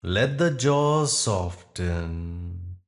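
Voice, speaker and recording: Deep voiced guy doing a guided meditation, really slow and soothing, leaves big gaps between phrases. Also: expressive, faint music behind. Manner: soothing, measured, deliberate phrasing with long pauses.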